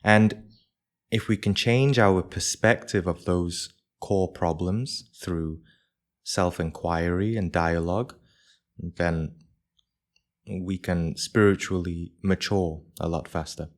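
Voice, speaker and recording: A man leading a live guided meditation for a group as its teacher, speaking in measured short phrases with pauses between them. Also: low voice, fairly even intonation.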